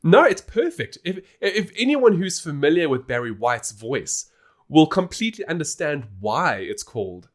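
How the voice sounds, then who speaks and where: A man's voice: on the high side, sing-song, normal speed. A man hosting a podcast, explaining things in a casual, informal and emphatic way. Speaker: podcast host.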